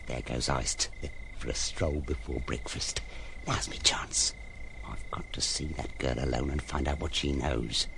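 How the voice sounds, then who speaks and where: An actor in an audio drama playing a cunning, menacing ruffian henchman with rough, slangy, muttering speech that drops into whispers. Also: extremely low pitch, fairly even intonation, a little slow, noisy background, exaggerated voice. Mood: furtive. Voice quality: gravelly. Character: cunning, menacing cockney-style ruffian henchman, excitable and wheedling.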